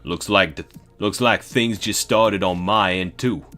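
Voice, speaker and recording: British guy doing a gruff marine commander, put-on deep voice, faint music under it. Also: measured pace, bright, forceful tone. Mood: tense. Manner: forcing his voice lower.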